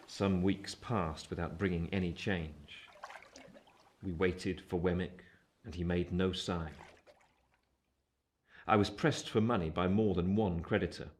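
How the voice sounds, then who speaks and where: An earnest gentleman tells his own story in a radio drama. His voice is deep, with a measured, literary, reflective delivery. A little noise sits behind it. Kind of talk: scripted first-person radio play narration.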